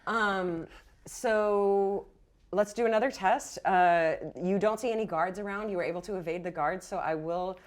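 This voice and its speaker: A woman's voice: mid-range voice, moderate pace. A woman game master narrating and explaining rules in an improvised, playful and encouraging way.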